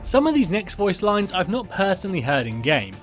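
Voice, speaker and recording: A man's voice: high voice, sing-song, fairly quick, soft background music. A man hosting a video, reading scripted narration. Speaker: video host. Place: close mic voice-over with music behind.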